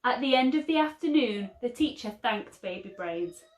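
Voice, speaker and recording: A woman teacher reading a picture book aloud for children, slow and expressive. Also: a little high, muffled recording. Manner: slow, expressive, lively storytelling. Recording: roomy echo.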